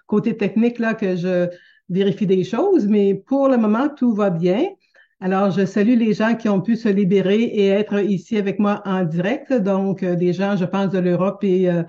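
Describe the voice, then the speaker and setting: Hesitant Québécois woman, muffled webinar sound. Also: medium pitch. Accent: Québécois French. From Quebec, Canada. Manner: hesitant, many fillers and restarts, gentle and earnest. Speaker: teacher hosting a live webinar. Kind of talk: unscripted live webinar talk. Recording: dull lo-fi webinar audio.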